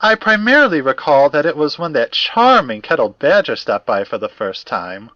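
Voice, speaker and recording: Man playing a fussy, courteous academic, lively high voice. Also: normal speed, no room sound, dull audio. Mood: formal, measured. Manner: precise, wordy, old-fashioned politeness. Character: formal, fussy, courteous male academic investigator. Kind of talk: audio drama acting.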